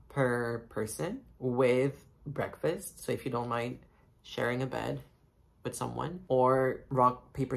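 Rambling young man with a gravelly edge. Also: mid-range voice. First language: French. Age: young adult. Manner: chatty, rambling, self-mocking, many fillers. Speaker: vlogger. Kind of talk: casual travel vlog chat. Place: hotel room and bathroom, then night street market. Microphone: phone camera at arm's length.

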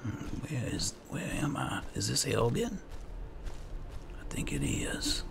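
A man giving roleplay gameplay commentary, muttering and thinking aloud in a gravelly voice. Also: mid-range voice, huge pitch swings, slow. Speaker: gaming channel host. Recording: clear background game noise.

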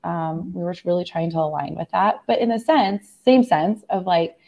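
A middle-aged American woman, hoarse from laryngitis, telling a personal story as a guest in a casual video-call interview. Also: fairly low pitch, fairly even intonation, normal speed, a little mellow, highs cut off. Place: room at home, on a video call.

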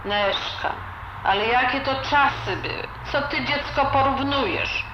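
A woman's voice: sits high, measured pace. A woman playing a blunt, disapproving old grandmother with a Silesian accent and grumbling, dialect-filled talk in an audio drama. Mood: scolding. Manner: grumbling, colloquial, full of dialect words. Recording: roomy, dull, noisy home recording.

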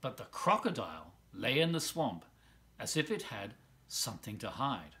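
A middle-aged man giving an animated reading of a children's storybook in a living room at home. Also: mid-range voice, moderate pace. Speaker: volunteer story reader.

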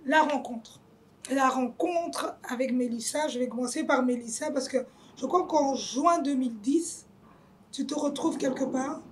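A woman's voice: high-pitched, a little slow, slight background noise. A young woman hosting an interview, asking long winding questions and affirming often. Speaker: host. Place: hotel lounge, indoors.

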